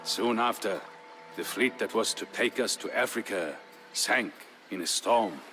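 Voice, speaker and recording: Male actor as ancient Gothic war leader, low-pitched, solemn storyteller, measured dramatic delivery, video game narration, clear musical score behind. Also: natural voice. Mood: somber, brooding. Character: grave Visigothic warrior chieftain, the dead king's brother-in-law, recounting history. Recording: narration over prominent music.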